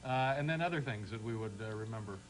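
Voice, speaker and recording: A man's voice: on the low side, quick pace. A man hosting a talk show, asking questions in a hesitant way with many fillers. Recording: noisy 1980s TV broadcast with audience.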